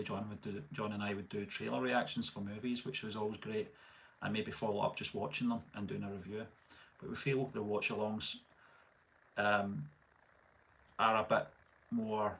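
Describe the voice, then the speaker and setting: A middle-aged man with a Scottish accent, hosting a casual live stream and reading out viewer comments in a relaxed, rambling way full of fillers and restarts. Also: on the low side, creaky. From Scotland. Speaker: channel host.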